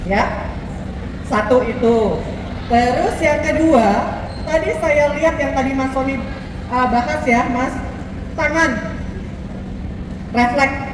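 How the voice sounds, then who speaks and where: A woman's voice: high voice, background noise. A woman coaching models on posture and walking, conversational and asking rhetorical questions. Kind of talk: instructive coaching talk. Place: large echoey mall event space. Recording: echoey hall audio from far off.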